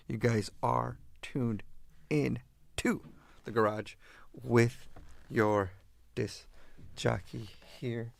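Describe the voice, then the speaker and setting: A man from East Los Angeles with an American accent, hosting a casual radio show as disc jockey in drawn-out, halting phrases. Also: mid-range voice, vocal fry.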